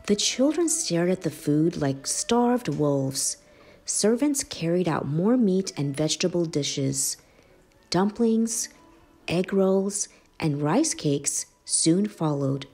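A woman reading a children's picture book aloud in a measured, animated storytelling manner. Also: on the low side, slightly rough. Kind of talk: expressive storybook read-aloud. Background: background music.

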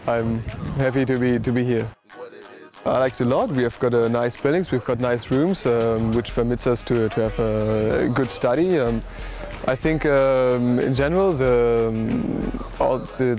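A man's voice: mid-range voice, a little mellow. A man in his twenties from Germany, a medical student speaking hesitantly with many restarts, recorded outdoors by the sea on a lapel mic. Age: 20s. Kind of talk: interview testimonial.

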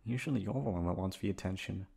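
A man playing an affectionate, teasing young boyfriend in a roleplay audio, coaxing playfully with drawn-out words. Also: sits low, vocal fry, dark tone, breathy edge, full character voice. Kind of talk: roleplay ASMR audio acting.

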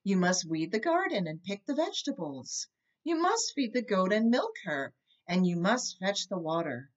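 A woman's voice: medium pitch, big pitch swings, moderate pace, highs cut off. A woman voicing a firm old witch grandmother from a storybook, emphatic and sing-song, on webcam audio. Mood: firm, instructing.

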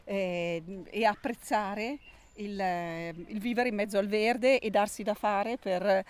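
A middle-aged woman, answering informally as a garden volunteer and pausing to find her words. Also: medium pitch, steady tone. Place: outdoors in an allotment garden. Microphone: handheld mic held out by interviewer. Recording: outdoor ambient noise.

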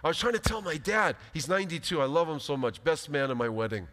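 Middle-aged pastor, emphatic sermon, voice a bit high, big hall echo. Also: male voice, fast. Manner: animated, emphatic preaching with big gestures. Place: large church stage, indoors. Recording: long hall reverb.

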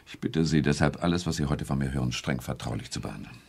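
An actor playing a formal, sardonic, authoritative state premier in a radio drama. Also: male voice, a bass voice, quick pace, vocal fry, a little mellow, slightly rough, full character voice. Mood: grave. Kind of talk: German radio drama acting.

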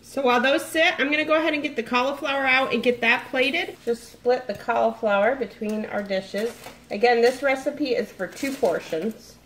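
A woman's voice: a little high, normal speed, fairly bright tone, a little hiss or hum. A chatty woman in her forties talks viewers through a recipe in a home kitchen. The room gives her voice a roomy ring, and faint sizzling and kitchen sounds sit behind her. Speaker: cooking vlogger. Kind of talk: casual cooking walkthrough. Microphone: camera mic at arm's length.

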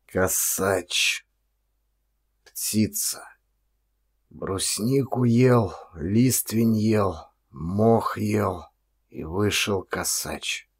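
A man voicing a frail, starved, meek vagrant in an audiobook, with a weak hoarse voice and slow, halting, terse replies. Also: on the low side, full character voice. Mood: weary, resigned.

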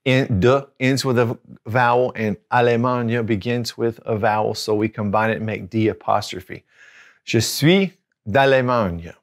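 Male teacher in his 40s, patient, repeats and spells words out, lapel mic in a studio. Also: mid-range voice. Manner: patient, repeats each word slowly and spells it out; gestures with his hands while explaining. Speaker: language teacher. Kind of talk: video language lesson. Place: studio with a dark backdrop.

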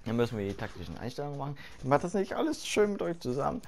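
A hoarse man talking through his game setup in casual commentary, swearing freely and thinking aloud with fillers, late at night. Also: mid-range voice, racing, a crowd in the background. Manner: loud and excited at the start, quieter later. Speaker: gaming YouTuber. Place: home room at night.

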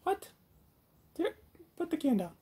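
A man's voice: extremely high pitch, big pitch swings, unhurried, background noise, exaggerated voice. A man voicing a bossy, exasperated female chef puppet who hosts a comic cooking show with theatrical complaint. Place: home garage.

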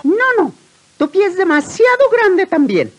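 Fussy high-pitched fairy-tale duke. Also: male voice, huge pitch swings, measured pace, over loud music, full character voice. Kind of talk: 1950s storybook record acting. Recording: vintage 1950s record.

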